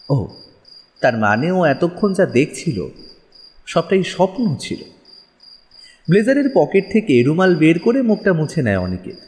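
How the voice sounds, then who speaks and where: A man's voice: on the high side, a little mellow. A man narrating a scripted horror audio story in a dramatic storytelling style. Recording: long reverb, music underneath.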